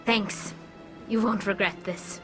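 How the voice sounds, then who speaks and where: A woman's voice: medium pitch, steady tone, slow pace, close to an everyday voice, low-quality recording. A woman voicing a young alien pilgrim in a video game, earnest and determined as she explains herself. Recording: music under the voice.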